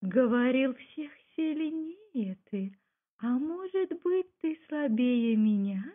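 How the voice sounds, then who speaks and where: A woman's voice: lively intonation, slow pace, exaggerated voice. A woman voicing a sly, teasing fox from a folk tale in a sing-song, wheedling manner. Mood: mocking. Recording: muffled low-fidelity home recording.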